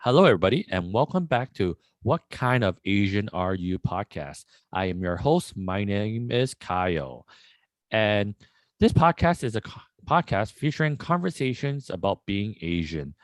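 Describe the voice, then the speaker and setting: A man hosting a casual podcast interview, rambling and relaxed with many fillers. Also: lowish voice, normal speed, no echo. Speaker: podcast host.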